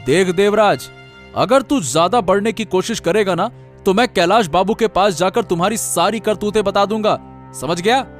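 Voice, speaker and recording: A man's voice: sits high, moderate pace, soft background music, close to an everyday voice. A man voicing an indignant village landowner in a cartoon story, scolding and emphatic. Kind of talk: Hindi moral-story cartoon dubbing.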